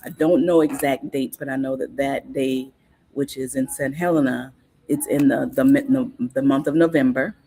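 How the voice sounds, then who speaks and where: A woman, a guest in a casual interview, explaining things in an unhurried, informative way with frequent fillers and restarts. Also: extremely low pitch, steady tone, warm tone, husky, low-quality recording. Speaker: guest on cultural topics.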